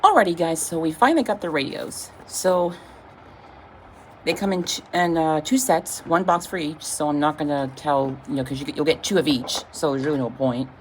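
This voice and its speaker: A woman giving a casual, informal unboxing demonstration as a product reviewer, speaking haltingly with vague, everyday wording. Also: deep voice, slight background noise, low-quality recording.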